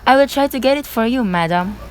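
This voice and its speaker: A woman's voice: on the high side, expressive, fast, lightly acted. A young woman in an amateur radio play, playing a courteous, by-the-book switchboard operator. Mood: polite, puzzled. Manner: courteous, procedural. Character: polite, formulaic telephone switchboard operator. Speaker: student actor. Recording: clear background noise.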